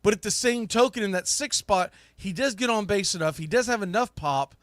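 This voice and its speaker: A man's voice: high voice, fairly quick, a bit bright, no room sound, studio quality. A man hosting a live sports talk podcast, speaking casually and emphatically with informal, opinionated, mildly salty phrasing.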